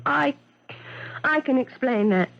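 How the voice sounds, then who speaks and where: An actress playing an agitated woman professor in a 1970s radio mystery, halting, defensive and overwrought as she denies wrongdoing. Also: high-pitched, animated, vocal fry, exaggerated voice. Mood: agitated, pleading. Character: agitated woman professor of agriculture denying a murder. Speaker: actor in a radio mystery drama. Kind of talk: 1970s radio drama acting. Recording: dull lo-fi 1970s radio broadcast.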